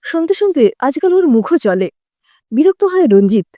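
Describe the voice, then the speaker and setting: A woman narrates a scripted story. Her voice is high and soft-toned, with animated intonation. The sound is dry and close. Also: moderate pace, no room sound.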